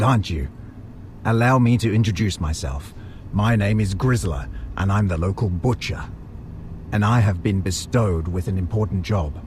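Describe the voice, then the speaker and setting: An actor playing a maniacal butcher crime boss in an audio drama, speaking with formal, theatrical, falsely courteous menace. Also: male voice, low-pitched, darkish tone, a little hiss or hum, lightly acted. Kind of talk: audio drama villain monologue.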